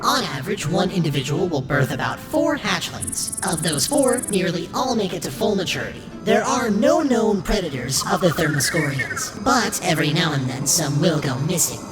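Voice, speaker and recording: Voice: mid-range voice, expressive, normal speed, hardly any pauses, lightly acted. A performer voicing a polite, nervous android courier in a cartoon, with formal, explanatory phrasing. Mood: measured, explanatory. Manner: formal, explanatory, stammering when flustered. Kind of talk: scripted narration and animated character dialogue. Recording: roomy sound with loud music behind.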